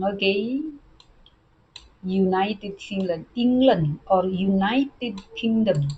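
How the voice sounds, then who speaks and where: A woman teaching a language lesson, speaking halting English and repeating words as she explains. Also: lowish voice, mellow, dull audio, slight background noise.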